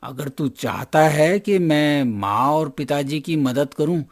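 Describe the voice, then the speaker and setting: A man voicing a lazy, scheming, hot-tempered drunkard son in a cartoon moral story, loud and boastful. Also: a little high, takes it slowly. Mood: sly, wheedling. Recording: music bed behind the voice.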